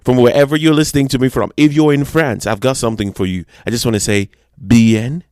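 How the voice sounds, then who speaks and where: A man's voice: mid-range voice, steady tone, fast, darkish tone, no echo, studio quality. A young Nigerian man hosting his own music podcast, talking chattily and joking about how well he does foreign accents. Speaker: podcast host. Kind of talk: solo podcast monologue.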